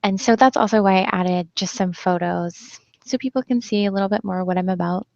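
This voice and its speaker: Gravelly woman leading a web training. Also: medium pitch, fairly quick, a little mellow, no room sound. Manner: friendly, many "um" fillers. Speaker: online trainer. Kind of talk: screen-share training webinar. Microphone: computer mic on a video call. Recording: dull lo-fi web call audio.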